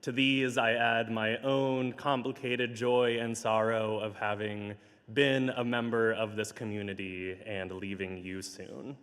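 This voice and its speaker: Slow, hoarse minister in a reverberant church. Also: male voice, lowish voice, little pitch movement. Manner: slow, measured and gentle. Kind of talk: pastoral notes and spoken prayer. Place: large church sanctuary. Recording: livestream with long hall reverb.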